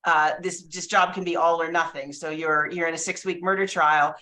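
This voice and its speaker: A woman in her forties, a criminal defence lawyer, speaking in a reflective, measured way on a video call. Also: low voice, fairly even intonation, sharp tone. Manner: reflective, measured, with frequent fillers. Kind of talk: casual panel chat.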